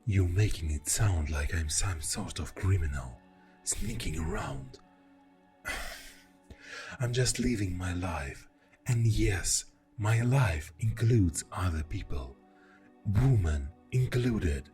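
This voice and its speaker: A man acts out an exasperated boyfriend in an intimate ASMR roleplay. His voice is very low and soft, and he takes his time, sighing and pausing as he defends himself. Music plays behind him. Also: animated, full character voice. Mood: frustrated. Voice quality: deep, soft, breathy voice. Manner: slow, pausing, with heavy sighs. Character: exasperated, defensive boyfriend arguing with a jealous partner.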